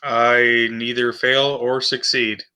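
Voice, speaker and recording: Guy at game night, very sharp bright voice, pretty flat delivery, casual player talk. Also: medium pitch, slow, smooth. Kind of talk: casual game-table chat.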